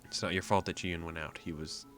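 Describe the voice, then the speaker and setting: A man voicing a low, steady protector. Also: brisk, soft background music. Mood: calm, firm. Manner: firm, calm, reassuring. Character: steady, protective young man who cares deeply.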